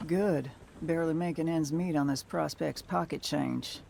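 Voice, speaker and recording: Tired gravelly lady bounty hunter, dry and terse, game dialogue, some noise behind. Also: low voice, normal speed, a little mellow. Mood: dry, guarded. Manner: dry, terse, plain-spoken. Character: weary, guarded, world-worn female bounty hunter. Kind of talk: naturalistic video game acting.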